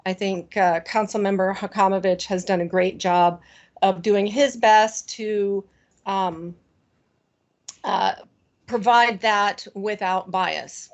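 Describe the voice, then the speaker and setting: A woman reads a firm, measured prepared statement as a resident giving public comment at a council meeting. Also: medium pitch, steady tone, dull audio. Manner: firm and measured, with "um" and "uh" fillers at the start.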